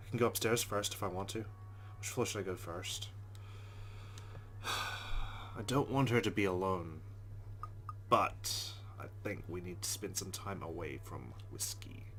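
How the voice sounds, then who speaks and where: A man's voice: lowish voice, fairly bright tone. A man hosting a let's play, reading a visual novel's narration aloud steadily and adding dry asides.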